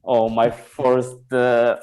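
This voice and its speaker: Male comic from Sudan, non-native English, halting, pause-filled delivery. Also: medium pitch, completely flat delivery, darkish tone. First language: not English. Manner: halting, many "uh" pauses, searching for words. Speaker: stand-up comedian. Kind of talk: stand-up set.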